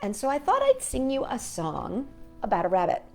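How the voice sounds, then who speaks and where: A woman's voice: sits high, huge pitch swings, normal speed, darkish tone, faint music behind. A woman hosting a children's storytime, reading aloud and chatting playfully with young listeners, often asking them questions. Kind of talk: children's picture book read-aloud with chatty asides.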